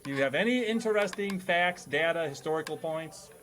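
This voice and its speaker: A man's voice: a little high, expressive, a little fast, fairly bright tone. A man hosting a comedic classroom quiz in a jokey, mock-formal teacher's manner. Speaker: host playing teacher of a comedy panel game.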